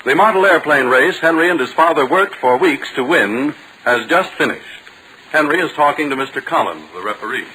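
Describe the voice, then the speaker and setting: Animated vintage American radio announcer, male. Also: on the high side, a little fast, slight background noise, low-quality recording. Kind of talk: 1940s radio announcing, scripted narration. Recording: vintage 1940 radio broadcast.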